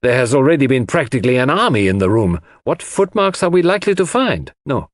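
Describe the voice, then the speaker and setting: Guy doing a fussy detective for an audiobook, precise, very swoopy pitch, dry sound. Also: very animated, brisk, no room sound, close to an everyday voice. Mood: brisk, precise. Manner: precise, theatrical, formal phrasing. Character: fussy, shrewd, elegant older foreign male detective. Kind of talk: audiobook character acting.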